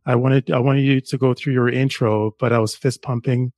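A man's voice: medium pitch, fairly even intonation, moderate pace, darkish tone, no echo, clean studio sound. A middle-aged man from Jamaica, a guest author, telling stories enthusiastically in a casual interview. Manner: enthusiastic storyteller, some restarts, laughs.

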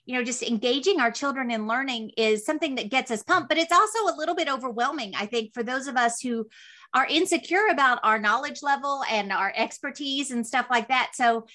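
A woman's voice: high voice, brisk, bright, forceful tone. A middle-aged woman hosting a conversational interview podcast, chatty and emphatic, piling one phrase onto the next. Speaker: podcast host.

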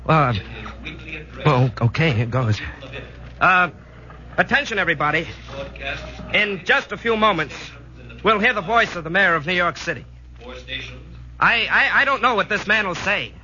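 An actor playing an earnest, jittery young American soldier in 1940s radio drama style, excitable and prone to stammer. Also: male voice, high-pitched, moderate pace, pauses often, heavily acted. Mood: nervous, then solemn, proud. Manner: excitable, emphatic, stammering when nervous. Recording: dull, noisy vintage radio recording.

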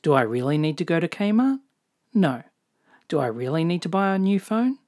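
Australian podcaster, measured, reflective, dry humor, scripted solo talk. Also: medium pitch, sing-song, a little mellow. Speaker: podcast host. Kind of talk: scripted personal podcast monologue.

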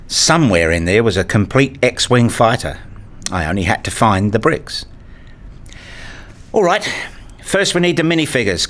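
A man narrating a first-person radio story in a measured, reflective way, also voicing the father's lines. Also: mid-range voice, fairly bright tone. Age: middle-aged. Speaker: narrator and lead actor playing a father.

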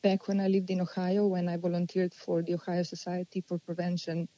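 A woman, answering interview questions unhurriedly and pausing as she thinks. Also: on the low side, completely flat delivery, dark tone, dry recording, highs cut off. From Slovenia. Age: 40s. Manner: unhurried, thoughtful, with many pauses and restarts. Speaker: guest.

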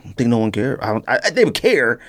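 Animated American man, very lively pitch. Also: fast talker. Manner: animated, slangy, emphatic. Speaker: podcast host. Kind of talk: casual chat about sneakers.

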